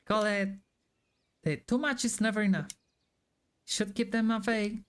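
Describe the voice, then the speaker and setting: A woman's voice: medium pitch, steady tone, a little slow. A woman game streamer reading visual novel dialogue aloud and chatting about it as she plays. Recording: game music behind the voice. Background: game music.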